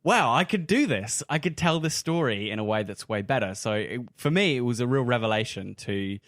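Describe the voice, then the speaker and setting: A man's voice: mid-range voice, animated, fairly quick, a bit bright, dry recording, clean studio sound. A man chatting casually as a podcast host, telling things in an enthusiastic, descriptive way. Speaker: podcast host and tabletop role-player. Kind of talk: casual discussion among friends.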